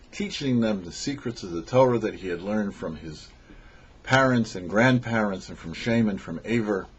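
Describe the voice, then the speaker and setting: Rabbi giving a weekly Torah talk: a man with an animated, husky voice, slow emphatic phrasing. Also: on the low side, muffled recording. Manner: measured, lively teaching delivery with slow emphatic phrases. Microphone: studio microphone.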